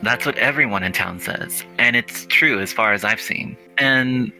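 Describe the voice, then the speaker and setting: Man putting on a low, crisp-edged, measured scholar. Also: sharp tone, faint music behind, poor audio. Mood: probing, measured. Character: kind, measured male scholar employer, probing and calm. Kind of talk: visual novel character reading.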